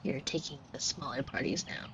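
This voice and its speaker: A woman's voice: a bass voice, lively intonation, fairly quick, fairly bright tone. A woman playing a shy, flustered florist who talks to the listener in a hesitant, colloquial, self-deprecating way in an audio roleplay. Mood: casual, bashful. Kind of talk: scripted roleplay acting addressed to the listener. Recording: dull muffled audio with clear noise. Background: shop ambience sound effects.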